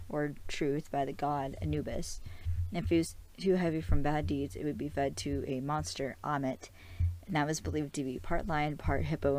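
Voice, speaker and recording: A woman with a low voice hosts a casual fan recap of a TV episode. She rambles in a friendly way, leaning on fillers and breaking into small giggles. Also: a little mellow. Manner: chatty, filler-heavy, giggly. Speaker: podcast host.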